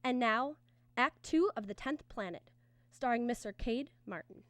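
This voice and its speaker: A woman's voice: on the high side, a little slow, fairly bright tone, no room sound. A woman announcer reading a scripted 1950s-style radio advertisement with an earnest, emphatic sales delivery.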